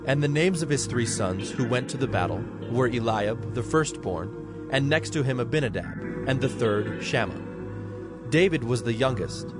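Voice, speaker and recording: A man narrating scripture from a script in a measured, formal manner. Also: mid-range voice.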